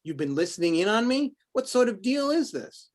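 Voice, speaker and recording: A man's voice: sits high, big pitch swings, moderate pace. A man playing a grumpy, defensive researcher with a snappish, indignant manner in a readers-theater drama. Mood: defensive, curt. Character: jittery, grumpy, defensive male UFO researcher. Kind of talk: amateur readers-theater script reading.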